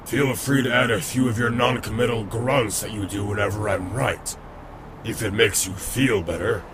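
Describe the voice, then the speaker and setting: A man's voice: on the low side, fairly even intonation, moderate pace, background noise, close to an everyday voice. An actor playing a smug, domineering robot lawman in a comic dub, with a condescending, sardonic manner. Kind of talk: dramatic comic dub acting.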